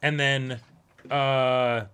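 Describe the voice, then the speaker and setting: Male co-host, casual and opinionated, bright forceful voice, sarcastic streak. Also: mid-range voice, level tone, very slow, clear, resonant tone. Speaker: reaction show co-host. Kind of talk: casual chat discussing an anime episode.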